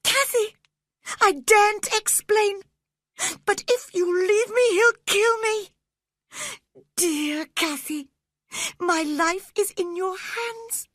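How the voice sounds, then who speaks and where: A woman's voice: extremely high pitch, slow, pauses often, fairly bright tone, dry recording, clean studio sound, voice pushed up, exaggerated voice. A woman voicing a sickly, terrified teenage boy who sobs and pleads breathlessly. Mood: sobbing.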